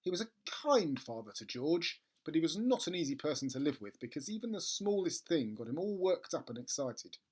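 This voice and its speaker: A storybook reader narrating a children's tale in an expressive, theatrical way. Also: medium pitch, a little fast, hardly any pauses, breathy edge. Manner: animated, theatrical.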